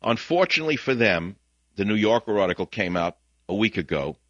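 An older man with a Bronx New York accent hosting a talk radio show, ranting combatively and swinging between muttering and shouting into a studio mic. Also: on the low side, very animated, a little fast, no room sound. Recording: dull, lo-fi, dry broadcast audio.

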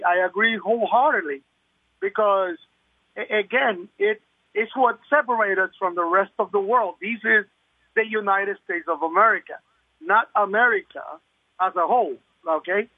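A man's voice: high voice, normal speed, dry recording. Older man on a phone line. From Puerto Rico. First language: Spanish. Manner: earnest, repeats words when pressing a point. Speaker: caller. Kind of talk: talk radio call. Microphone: telephone.

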